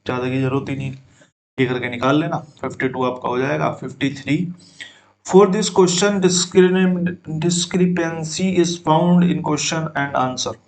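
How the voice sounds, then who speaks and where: A man's voice: on the high side, slow, a little hiss or hum, rough recording. Man teaching math step by step. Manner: talks students through each step, addressing them as brother. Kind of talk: exam-prep math lesson.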